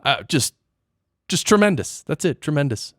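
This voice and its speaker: A man's voice: on the high side, very animated, normal speed, a bit bright, no echo, clean studio sound. A man hosting a podcast, chatting casually with his guest in an interview. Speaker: podcast host.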